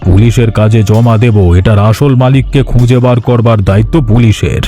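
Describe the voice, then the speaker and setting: A man voicing a gentle, worried elderly village father in a cartoon, with a calm and patient delivery. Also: mid-range voice, brisk, soft background music, lightly acted. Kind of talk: cartoon voice acting.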